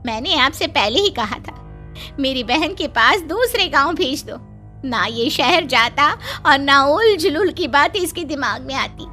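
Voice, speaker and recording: Lady doing worried scolding village mom, really high n piercing, cartoon horror story, faint music behind. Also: animated, a little slow, pushed and bright, exaggerated voice. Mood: exasperated. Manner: fretful, scolding. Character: anxious, scolding village mother of a teenage son. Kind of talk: Hindi animated horror story voice acting.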